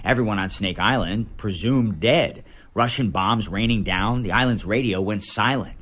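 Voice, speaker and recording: An American man, a news correspondent, reading a scripted report voice-over in a dramatic, measured way with deliberate pauses. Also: on the low side, a bit bright.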